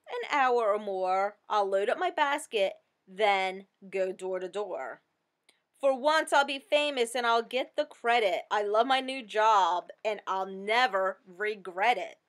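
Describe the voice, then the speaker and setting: A woman's voice: mid-range voice, a bit bright. A woman voicing a jealous, boastful little hen in a storybook, with indignant, emphatic delivery. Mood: determined, proud.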